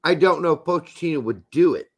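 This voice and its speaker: A man co-hosting a soccer podcast, emphatic and argumentative, hoarse from losing his voice. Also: fairly high pitch, fast. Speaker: podcast co-host. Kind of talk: casual soccer talk.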